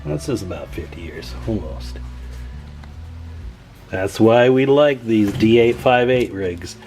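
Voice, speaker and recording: A man's voice: lowish voice, lively intonation, takes it slowly, a little mellow, faint music behind. A man giving a casual, chatty show-and-tell as a radio repairer and video host, folksy and enthusiastic.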